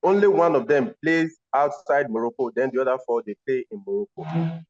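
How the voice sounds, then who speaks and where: A man's voice: mid-range voice, expressive, fairly quick, darkish tone. A man hosting a football discussion and putting questions to his panelists. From Nigeria. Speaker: host. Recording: slightly dull, lo-fi audio.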